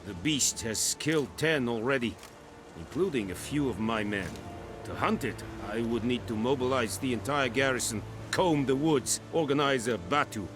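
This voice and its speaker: An actor playing a stern, pragmatic commander of an occupying army in a video game, formal and measured, speaking with a foreign accent. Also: male voice, mid-range voice, lively intonation, music in the background, natural voice. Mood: grave. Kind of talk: dramatic video game acting.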